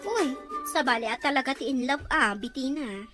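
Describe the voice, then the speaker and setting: A woman's voice: high-pitched, normal speed, over loud music, natural voice. A woman playing a chatty, teasing young friend, with a Filipino Ilocano accent. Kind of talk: radio drama dialogue.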